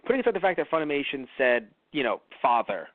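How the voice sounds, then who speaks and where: A man from New York with lively, swooping intonation chats as a review show co-host. He rambles comically, plays up his complaints and slips into mock quotes. The sound is dry and close. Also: medium pitch, fast talker, no room sound, phone-quality sound. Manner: rambling, jokingly whiny, acts out mock quotes. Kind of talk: casual comic banter and film review.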